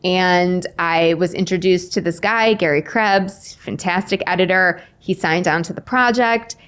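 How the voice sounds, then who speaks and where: A woman's voice: fairly low pitch, a little slow, a bit bright, clear, resonant tone. A woman in her forties chatting casually as an interview guest, telling stories with acted-out dialogue and self-deprecating humor. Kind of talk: casual conversational storytelling.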